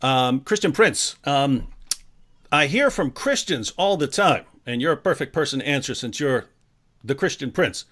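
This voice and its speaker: A man hosting a live-streamed talk show, jokey and energetic as he introduces his guest and relays viewer comments. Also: mid-range voice, moderate pace. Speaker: host.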